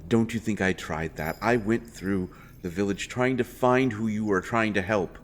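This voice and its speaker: Male actor for deep-voiced fantasy roleplay royal interrogator, secretly protective, earnest, pleading urgency. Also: moderate pace, slight background noise, close to an everyday voice. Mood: defensive, wry. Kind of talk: dramatic fantasy audio roleplay addressed to the listener.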